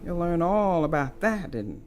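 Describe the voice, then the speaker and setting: A woman's voice: on the low side, very animated, a little slow, a little mellow, a little hiss or hum. An actress playing a curt, weary woman writer in her sixties in an audio drama, with a dry, dismissive manner. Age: 60s. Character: prickly, weary 66-year-old female cult writer.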